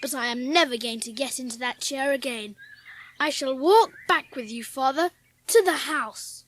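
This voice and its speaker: A woman's voice: high voice, normal speed, fairly bright tone. A woman playing an eager, proud, well-bred English boy in a dramatised audiobook.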